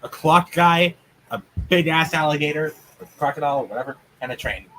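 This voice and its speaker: A man with a somewhat high voice talks casually as one of a group of friends on a game stream. There is faint noise behind him. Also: fairly quick, bright, forceful tone, poor audio. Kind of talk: casual chat.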